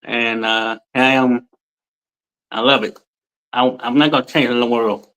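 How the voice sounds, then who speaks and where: A man's voice: mid-range voice, fairly even intonation, measured pace, fairly bright tone, smooth, rough recording. Chatty man in his forties. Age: 40s. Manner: rambling, repeats and restarts himself; colloquial informal speech. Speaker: guest. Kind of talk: casual storytelling in an interview.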